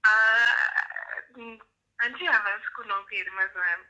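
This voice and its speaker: A woman's voice: medium pitch, moderate pace, bright, forceful tone. A Zimbabwean woman whose first language is Shona, talking casually on an echoey telephone call. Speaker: phone caller being pranked. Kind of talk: casual phone conversation.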